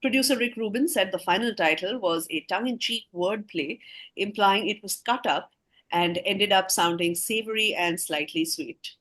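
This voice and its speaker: A woman's voice: mid-range voice, moderate pace, a bit bright. A woman quizmaster reading scripted questions aloud, with casual asides to the players.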